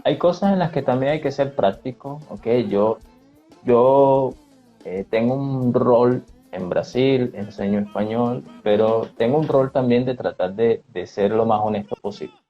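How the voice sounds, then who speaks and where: A man's voice: medium pitch, unhurried. A man, a teacher hosting a live stream who gives practical advice to learners. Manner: advising, reads viewer comments aloud. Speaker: host, Spanish teacher. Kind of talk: casual chat on a live stream. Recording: dull lo-fi stream audio, faint music.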